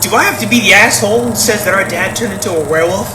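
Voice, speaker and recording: A man's voice: high voice, rapid-fire, echoey room, far from the mic, very noisy, lightly acted. A man acting in an audio play as a wary, exasperated young brother, blunt and argumentative. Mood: alarmed.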